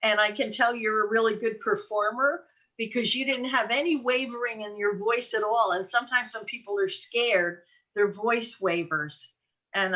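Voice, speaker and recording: Older lady cohost, bright voice, gushy and chatty, just casual talk. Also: medium pitch, muffled recording. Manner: chatty, effusive. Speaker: podcast co-host and producer. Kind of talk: casual chat.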